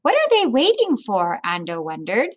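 A middle-aged woman reading a picture book aloud to children like a teacher, with expressive, deliberate phrasing. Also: mid-range voice. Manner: deliberate phrasing with explanatory asides to young listeners.

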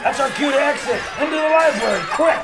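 An actor playing a brash, quick-witted adventurer in an audio adventure serial. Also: male voice, very high-pitched, a loud crowd. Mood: urgent, wry.

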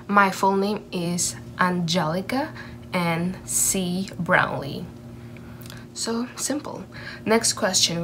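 A young woman from the Philippines talks slowly through a casual question list, pausing on "um" and "you know". Her voice sits a little low. She is recorded in a bedroom on a camera mic with a faint hiss behind her. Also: a bit bright. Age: young adult. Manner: unhurried, chatty, many "um"s and "you know"s. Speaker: vlogger. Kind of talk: casual question-and-answer tag video. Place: bedroom at home. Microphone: camera in front at desk level.

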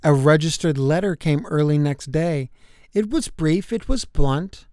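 A woman's voice: deep voice, lively intonation, a little slow, warm tone, no room sound, studio quality. A woman narrating a rhyming children's storybook expressively, in a way that is easy to follow. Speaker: children's book reader.

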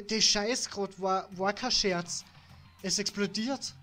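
Styrian Austrian woman in her twenties, let's play streamer, slow relaxed reading, faint game music behind. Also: low-pitched. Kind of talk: reading game text aloud and chatting on a live stream.

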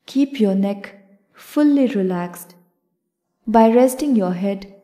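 A woman yoga instructor leading a guided meditation in a calm, measured, instructional way. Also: medium pitch.

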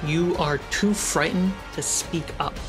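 A woman narrating a tabletop fantasy game as game master, telling the story with dramatic pauses. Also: deep voice. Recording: dramatic music under the voice. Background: background score.

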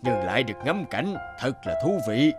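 A man voicing a lazy, sly horse in an animated folk tale, with a smug drawl. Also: medium pitch, moderate pace, loud music behind the voice, close to an everyday voice.

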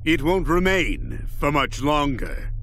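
An actor playing a pompous, scheming middle-aged mad scientist villain in a video game cutscene, with a bombastic, theatrical, grandiose manner. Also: male voice, fairly high pitch, huge pitch swings, takes it slowly, slight background noise, exaggerated voice. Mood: grave, expository. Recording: loud music over the voice.

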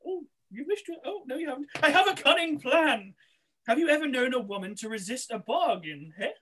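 A woman's voice: high-pitched, expressive, a little fast, a bit bright, slightly rough, exaggerated voice. A woman playing a scheming male pantomime villain posing as a street seller, hammy and gloating. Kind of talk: rough pantomime read-through. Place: small room at home, video call.

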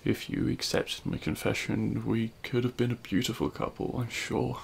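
Man acting a gravelly, remorseful villain. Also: mid-range voice, little pitch movement, a little hiss or hum. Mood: wistful regret. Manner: halting, anguished, self-loathing. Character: remorseful male supervillain confessing love at a grave. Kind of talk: intimate audio roleplay monologue.

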